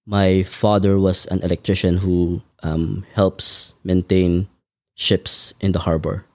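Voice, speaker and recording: A man answers questions in a measured, thoughtful way, pausing often to gather his words. His voice is very deep and mellow. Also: little pitch movement, dry recording, clean studio sound. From Philippines. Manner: measured, thoughtful, frequent ums. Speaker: podcast guest. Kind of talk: conversational interview.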